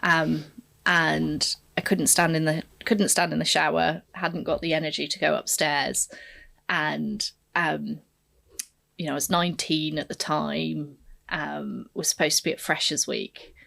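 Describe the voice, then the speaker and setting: A woman's voice: low voice, flat delivery, measured pace, gravelly, a bit bright. A woman in her forties from Kenya, a podcast guest candidly reflecting on her career path. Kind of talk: podcast interview, telling her life story.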